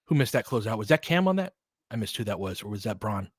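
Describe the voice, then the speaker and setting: A man's voice: fairly low pitch, sing-song, quick pace, slightly rough, no room sound, clean studio sound. A man calling a basketball game live in clipped phrases, with casual, fan-like asides between the plays. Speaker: basketball play-by-play commentator.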